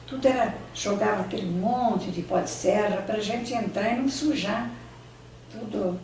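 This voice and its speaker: An older Brazilian woman whose first language was Italian, reminiscing in an interview and often pausing to search for words and restarting her sentences. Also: mid-range voice, crowd noise. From Brazil, São Paulo state. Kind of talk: oral history reminiscing. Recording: echoey room, distant mic, faint ambience.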